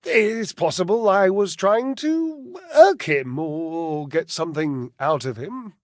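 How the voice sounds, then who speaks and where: A man's voice: sits high, slow, dry recording, studio quality. A man voicing a vain, sensitive clothes dryer who performs on stage, a theatrical video game character with dramatic sighs and flustered stammering. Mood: wistful, confessing. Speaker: voice actor.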